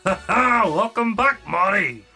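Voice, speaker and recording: An actor playing the brash, excitable ghost of an old comedian in a radio drama, with a raspy voice and punchy, teasing delivery. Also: male voice, sits high, measured pace, soft background music, heavily acted. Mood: gleeful.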